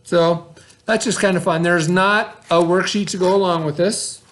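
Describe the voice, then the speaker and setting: Guy teacher reading to kids, informal, asks them stuff, voice a bit on the high side. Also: fairly even intonation, normal speed, fairly bright tone. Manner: informal, questioning, talks directly to pupils. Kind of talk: children's read-aloud and lesson.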